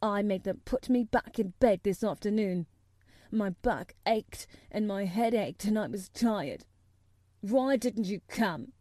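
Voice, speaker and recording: A woman's voice: mid-range voice, lively intonation, slow, warm tone, no room sound, clean studio sound. A woman voicing a spoiled, self-pitying young invalid boy in a storybook, demanding and petulant. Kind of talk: audiobook character voice.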